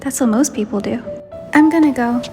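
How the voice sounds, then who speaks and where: High-voiced shy, curious young heroine, acted by a woman. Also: a little fast, mellow, soft background music, natural voice. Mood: shy, musing. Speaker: voice of the player heroine, fan narration. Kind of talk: acted visual novel dialogue and inner monologue.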